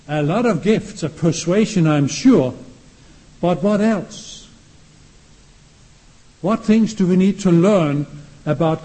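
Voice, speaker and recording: A man with a Danish accent, speaking English as his second language, delivers a lecture at a steady classroom pace. His voice is warm and mellow and sits a little high for a man. He poses question after question to the room. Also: low-quality recording. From Denmark.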